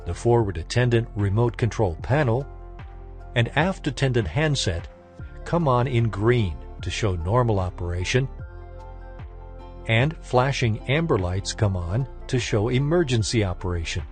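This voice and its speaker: A man's voice: mid-range voice, expressive. Formal male training narrator over music. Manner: formal, measured, instructional. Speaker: instructor narrator. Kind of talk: scripted technical training narration.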